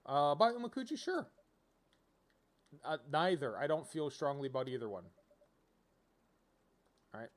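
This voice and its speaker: A man's voice: on the high side, big pitch swings, normal speed, long pauses. A man casually commentating on a video game as he streams, rambling and thinking aloud as he reads out options.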